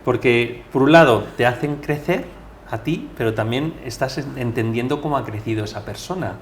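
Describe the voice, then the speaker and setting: A man works as a podcast interviewer, speaking conversationally at an ordinary male pitch. There is faint background noise on the recording. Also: normal speed.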